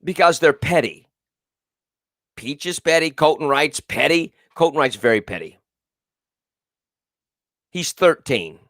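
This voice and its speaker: A man's voice: fairly high pitch, animated, unhurried, long pauses, a bit bright, no echo, clean studio sound. A male talk show host with a big, carrying voice, giving emphatic, repetitive political commentary and reading viewers' comments aloud. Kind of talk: live political call-in commentary.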